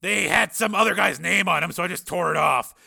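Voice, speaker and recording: A man's voice: high voice, fairly quick, vocal fry, sharp tone, voice pushed up, heavily acted. A man voicing a street-talking, easygoing civilian cameraman in an audiobook, with a casual, protesting manner. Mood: casual, sheepish.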